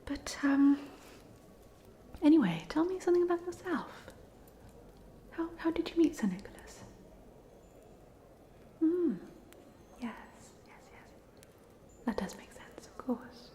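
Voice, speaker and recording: Woman as a softly whispering, chatty ghost. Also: high-pitched, sing-song, takes it slowly, long pauses, a little hiss or hum, heavily acted. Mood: gentle, friendly. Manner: whispered, hesitant, gently rambling. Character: polite, chatty headless ghost of a drowned Hogwarts student. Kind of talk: whispered ASMR roleplay.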